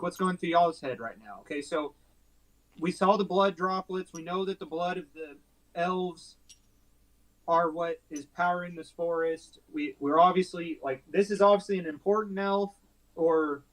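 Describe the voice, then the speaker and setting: High-voiced, hesitant man at game table. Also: normal speed, a bit bright, poor audio. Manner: hesitant, repeats and rephrases questions. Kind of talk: casual in-game chat.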